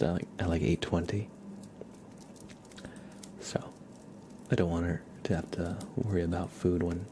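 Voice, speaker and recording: A man hosting an ASMR livestream, chatting casually in a soft, hushed voice that often slips into a whisper. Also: deep voice, background noise. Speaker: livestream host. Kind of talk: ASMR, casual chat with viewers.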